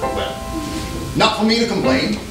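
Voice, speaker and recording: Man playing an earnest, high-voiced melodrama cowboy. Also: a little slow. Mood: dry. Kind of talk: stage melodrama acting. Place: theater stage, recorded from a distance. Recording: echoey stage recording from far off, music.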